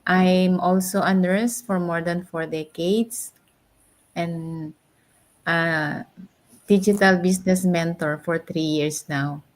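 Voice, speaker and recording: A woman's voice: lowish voice, fairly even intonation, slow pace, rough recording. A woman in her sixties with a Filipino accent, introducing herself as an interview guest. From Philippines. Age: 60s. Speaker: interview guest, nurse and business mentor.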